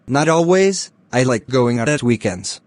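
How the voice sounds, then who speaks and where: A man playing a courteous, easygoing young film star in a scripted cartoon dialogue for English learners, speaking in a deliberate way. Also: medium pitch, animated, darkish tone, no echo, natural voice. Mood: pleasant, courteous.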